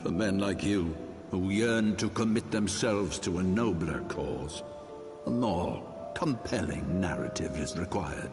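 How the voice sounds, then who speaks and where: A man's voice: deep voice, a little slow. An actor playing a proud, stern old archbishop and grave father in a fantasy game, speaking in solemn, oratorical, archaic phrases. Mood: cold, measured. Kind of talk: dramatic fantasy game voice acting. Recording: long hall reverb, music underneath.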